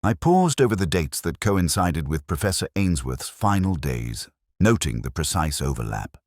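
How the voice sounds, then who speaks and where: Male narrator for detective fiction. Extremely low voice, expressive pitch movement, reflective and measured delivery. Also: no room sound, studio quality. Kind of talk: scripted first-person detective mystery narration.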